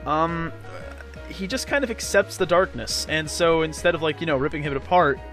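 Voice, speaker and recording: A man with a slightly high voice hosts a let's play. He talks in a slangy, casual way with playful sarcasm, and video game music plays behind him. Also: normal speed, a bit bright. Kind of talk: casual joking gameplay commentary.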